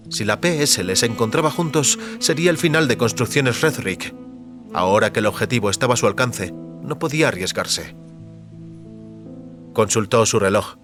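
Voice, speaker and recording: Casting: male narrator, mid-pitched, scripted dramatic sci-fi storytelling over faint music.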